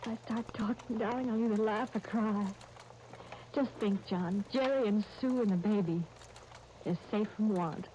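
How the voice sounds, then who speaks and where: A noisy 1939 radio recording carries an actress playing a devoted young heroine. She is tender and earnest in the old soap opera manner, with a medium-pitched woman's voice. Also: normal speed, warm tone, muffled recording, natural voice. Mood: hopeful.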